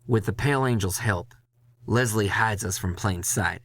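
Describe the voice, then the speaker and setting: A man reading a dramatic first-person horror story in a measured, intense way, with music behind him. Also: on the low side, a bit bright.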